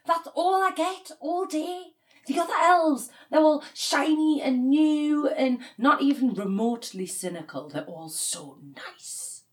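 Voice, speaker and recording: Sardonic thirty-something woman, very animated. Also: sits high, measured pace. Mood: exasperated, sarcastic. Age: 30s. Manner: sardonic, exasperated. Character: cynical, grieving 37-year-old woman working as an elf. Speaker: actor in an audio drama. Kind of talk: naturalistic audio comedy drama dialogue.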